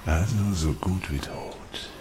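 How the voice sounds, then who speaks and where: A man's voice: extremely low pitch, slow, noisy background. An actor playing a grim male doctor in a radio drama, giving laconic, matter-of-fact answers.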